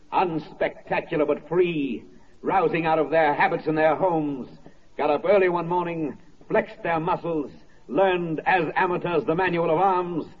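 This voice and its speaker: An actor playing a solemn, rousing wartime narrator, declaiming in an oratorical radio drama style. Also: male voice, on the high side, slightly rough. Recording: muffled 1940s radio recording.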